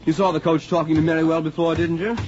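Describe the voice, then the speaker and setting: Guy doing mopey young football player, old 40s radio, slightly high voice, dull sound. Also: little pitch movement, fast talker, darkish tone, soft background music, close to an everyday voice. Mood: sulky, bitter. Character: sulky, defeated young male college football player. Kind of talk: 1940s radio acting. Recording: muffled vintage 1940s radio recording.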